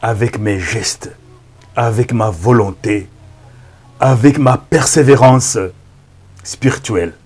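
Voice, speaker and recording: A middle-aged Rwandan-born pastor whose first language is Kinyarwanda, praying and preaching slowly and solemnly, with frequent amens. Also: male voice, medium pitch, breathy edge. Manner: slow, solemn, with frequent amens and hushed thanks. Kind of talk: prayer and sermon introduction.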